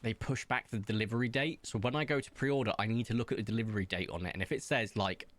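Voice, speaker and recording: Low-voiced man, rambling chat. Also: fast, a bit bright, no room sound. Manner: rambling, self-mocking, hesitant with many ums. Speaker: streamer. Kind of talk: casual chat reading viewer comments while playing.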